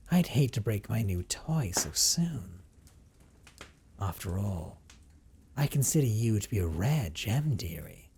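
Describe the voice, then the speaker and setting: A man voicing a centuries-old vampire captor in an intimate audio roleplay, speaking with hushed, theatrical condescension and often dropping toward a whisper. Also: on the low side, slight background noise. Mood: teasing, savoring. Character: centuries-old male vampire captor, teasing, dominant, wistful.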